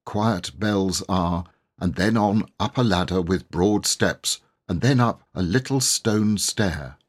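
Deep, husky, expressive male storyteller. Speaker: audiobook reader. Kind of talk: expressive scripted story narration.

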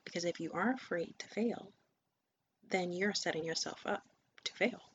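Earnest motivational woman, soft whispered asides. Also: lowish voice, normal speed, breathy edge. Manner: earnest, encouraging, often drops to a whisper. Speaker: podcast host and coach. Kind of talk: motivational monologue. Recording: dull lo-fi sound with faint ambient noise.

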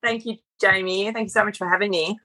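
A woman with a bright, clear voice and a South African accent gone partly Australian. She talks casually and warmly about herself as a guest on an interview podcast. She is chatty and self-deprecating, laughing easily at her own story. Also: mid-range voice, steady tone, fast. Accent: mixed South African and Australian. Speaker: podcast guest, personal trainer.

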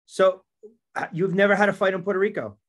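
A man's voice: high voice, steady tone, quick pace. A man hosting a sports podcast, conversational, asking long leading questions. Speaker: podcast host. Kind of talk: sports talk interview.

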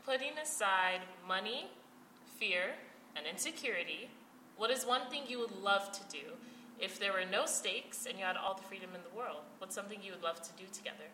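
A woman's voice: medium pitch, brisk, pushed and bright, reverberant, slight background noise, poor audio. A teenage girl hosting a podcast interview, reading her questions with light laughs in between. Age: teens. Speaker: podcast host.

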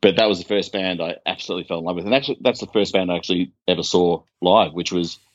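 A man in his fifties with an Australian accent, a guest talking relaxed on the phone and trailing off. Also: sits low, quick pace, no echo. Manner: relaxed, laughs easily, trails off. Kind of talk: casual chat. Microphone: phone line. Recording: dull dry phone line.

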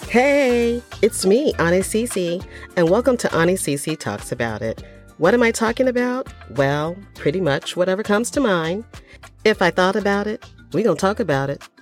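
A woman's voice: medium pitch, expressive, moderate pace, soft background music. An older woman hosting her own podcast in a casual, folksy chat, a little stuffy from a cold, with plenty of ums. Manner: chatty, folksy, lots of ums. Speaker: podcast host.

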